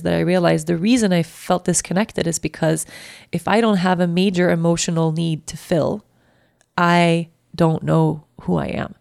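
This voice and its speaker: A woman in her thirties from Sweden with a Swedish accent, hosting and talking candidly and reflectively, halting and restarting as she thinks. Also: on the low side, gravelly, no room sound, studio quality. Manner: candid, halting, self-reflective with frequent restarts and soft laughs. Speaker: podcast host. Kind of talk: personal reflective monologue.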